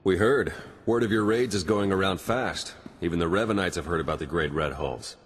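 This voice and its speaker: A man playing a steady, serious military ally in a video game, grave and instructive. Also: low-pitched, brisk, slightly rough.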